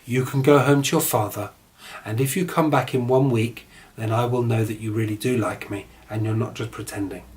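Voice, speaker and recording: A man voicing a gentle, lovelorn beast in a storybook tale, plaintive and courteous. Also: medium pitch, steady tone, brisk, lightly acted. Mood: kindly. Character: gentle, sad, lovelorn male beast monster.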